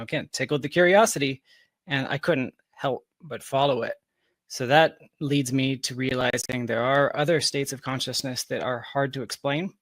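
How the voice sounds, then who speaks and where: A man's voice: medium pitch, fairly bright tone, no room sound. A man in his thirties from Georgia giving reflective, explanatory answers as a guest in a conversational interview. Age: 30s. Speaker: podcast guest, software developer.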